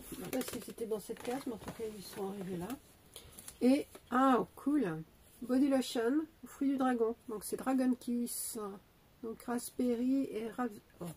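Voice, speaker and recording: Unhurried woman with a stuffy cold. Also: fairly high pitch, a little mellow, slight background noise. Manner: chatty, hesitant, many fillers and restarts. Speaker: beauty vlogger unboxing an advent calendar. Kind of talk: casual unboxing commentary.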